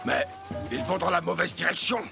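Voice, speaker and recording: A man voicing a scheming, bad-tempered hunter in an animated series, menacing and blustering as he exclaims. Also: sits high, normal speed, vocal fry, heavily acted. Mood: angry, threatening. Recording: music behind the voice.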